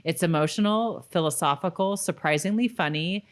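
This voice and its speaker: A woman's voice: fairly low pitch, normal speed. A woman co-hosting a podcast in a casual, informal, conversational style. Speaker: podcast co-host. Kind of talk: casual rewatch chat.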